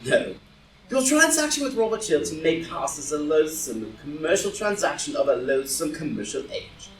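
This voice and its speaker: A woman playing a witty, dandyish gentleman, flippant yet loyal, with dry, declamatory repartee in an audio drama reading of a play. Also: deep voice, very animated, moderate pace. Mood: indignant. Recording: roomy, distant live reading with music.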